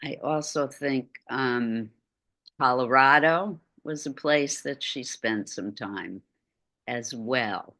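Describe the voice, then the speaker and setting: Middle-aged woman, low bright voice, unhurried lecturer on a video call. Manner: slow, chatty asides while lecturing. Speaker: art lecturer. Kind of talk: online art lecture.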